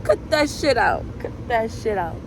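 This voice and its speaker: Young woman, spanish first language, rambling and musing out loud on the street, lots of likes and ums, traffic noise behind. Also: fairly high pitch, very animated, a little slow. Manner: musing, filler-heavy, drifting between thoughts. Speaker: vlogger. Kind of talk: rambling reflective monologue.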